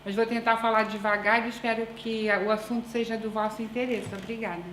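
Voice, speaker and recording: Woman presenter, Brazilian accent mixed with European Portuguese, fairly high voice, measured delivery, echoey conference room, faint background hum. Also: level tone. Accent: Brazilian Portuguese with European Portuguese influence. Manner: deliberate, measured, with frequent hesitations. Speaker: researcher presenting a paper.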